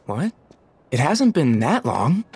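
A man playing a confident, brash but friendly teenage boy who leads his friends, in an animated video game. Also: a little high, slow, slight background noise, full character voice. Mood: casual.

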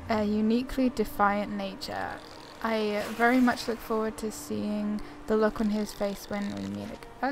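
A woman's voice: mid-range voice, gravelly, noisy background. A woman doing casual gameplay commentary and reading quest text aloud, chatty, informal and self-deprecating. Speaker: gaming YouTuber. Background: video game ambience.

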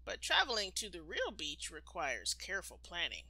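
A woman reading a children's picture book aloud expressively, like a storytime teacher, with deliberate, emphatic phrasing. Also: lowish voice, huge pitch swings, sharp tone, husky, dry recording.

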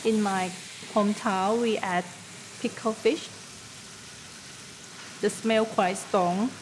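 A woman in her thirties from northeastern Thailand, a restaurant owner and chef speaking simple broken English with a Thai accent in a documentary interview, soft and earnest. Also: medium pitch, steady tone, slow pace, background noise. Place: indoor restaurant dining room. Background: background music.

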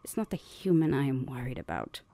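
A woman's voice: a bass voice, big pitch swings, a little fast, vocal fry, mellow, dry recording, heavily acted. A woman playing a stern, haughty, protective older vampire sister in ASMR roleplay, with a formal, imperious manner. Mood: indignant, dry. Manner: formal, imperious, easily flustered.

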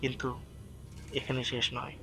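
A man's voice: medium pitch, monotone, measured pace, gravelly, over loud music. A man narrating a scripted horror story in a dramatic, suspenseful way as the storyteller.

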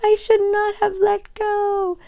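A woman's voice: very high voice, completely flat delivery, slow, creaky, mellow, lo-fi sound, voice pushed up, full character voice. A woman voicing a small, earnest young male dinosaur in a picture book, speaking with determination.

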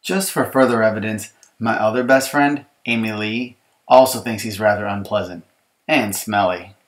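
A man's voice: on the low side, normal speed, natural voice. A man reading a children's picture book as an exasperated young girl complaining about her bratty little brother, emphatic and mock-aggrieved. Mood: indignant. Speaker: author reading his audiobook.

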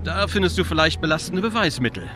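A man's voice: a little high, expressive, fast, music in the background, natural voice. An actor playing an easygoing, teasing young man in a video game, speaking in a casual, natural way.

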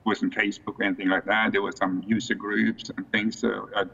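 A man's voice: fairly low pitch, flat delivery, creaky, bright, forceful tone. Norwegian-accented man on a muffled video call. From Norway. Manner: unhurried, many fillers and restarts. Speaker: guest expert. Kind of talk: casual interview. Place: small home office.

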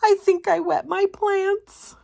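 A woman's voice: very high voice, steady tone, takes it slowly, gravelly, mellow, pitched above the performer's own voice, full character voice. Woman voicing an eager, dramatic little sprout girl. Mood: nervous.

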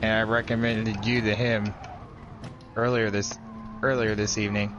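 A man's voice: fairly low pitch, level tone, moderate pace, lo-fi sound, noisy background. A man racing alongside the host, chatting in a friendly, matter-of-fact way over online voice chat. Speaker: guest racer. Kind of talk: casual chat while racing. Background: racing game car sounds.

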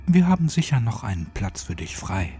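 A kindly, stout orphanage matron, played by a male narrator in a horror audiobook. She speaks in a warm, welcoming, motherly way. There is music behind her. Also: fairly low pitch, big pitch swings, moderate pace, husky, exaggerated voice.

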